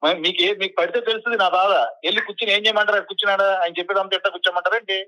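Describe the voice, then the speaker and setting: A young man on a phone call, high and very rapid. He argues back with irritation and refuses to give ground, in a heated exchange. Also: steady tone, pushed and bright, no room sound. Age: young adult. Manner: defiant, argumentative, irritated. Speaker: caller, college student.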